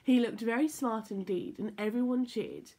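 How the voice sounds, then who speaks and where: A woman reading a children's story aloud in an expressive, playful, emphatic way. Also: a little high, moderate pace, breathy edge. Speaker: story time reader for children.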